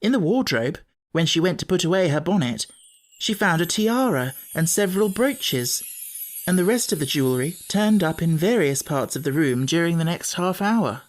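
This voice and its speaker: A man's voice: on the high side, animated, moderate pace. A man reading scripted narration for a children's audiobook, as its narrator.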